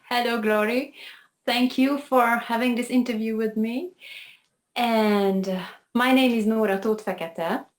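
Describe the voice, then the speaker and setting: A woman's voice: fairly high pitch, fairly even intonation, measured pace. Hungarian-accented woman telling her story. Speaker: piano teacher, interview guest. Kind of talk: conversational storytelling.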